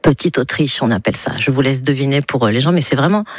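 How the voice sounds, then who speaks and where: A woman from southern France chatting casually as an interview guest, often pausing to search for words. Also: extremely low pitch, no room sound, clean studio sound. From Nice, southern France. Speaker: interview guest, stage performer. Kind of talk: casual chat.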